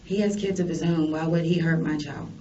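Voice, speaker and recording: A woman's voice: sits low, fairly even intonation, fairly quick, warm tone. A woman being questioned by police as a suspect, answering with terse, defensive denials. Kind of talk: police interrogation. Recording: distant muffled interview recording, clear background noise.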